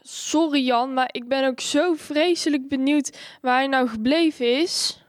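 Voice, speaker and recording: A woman's voice: sits high, measured pace, no room sound, studio quality. A woman playing an anxious, compassionate young villager in a Dutch wartime audio drama, earnest and worried. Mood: worried, hopeful. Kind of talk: scripted radio drama dialogue.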